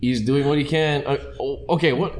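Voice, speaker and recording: A man's voice: mid-range voice, fairly even intonation, fairly quick, darkish tone. A man co-hosting a casual podcast who asks questions and playfully hypes up his co-host.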